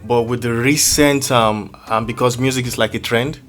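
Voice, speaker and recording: A man's voice: medium pitch, fairly even intonation, normal speed, fairly bright tone. A man talking casually as a music producer being interviewed, hesitant and feeling for his words as he explains. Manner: hesitant, searching for words, roundabout phrasing.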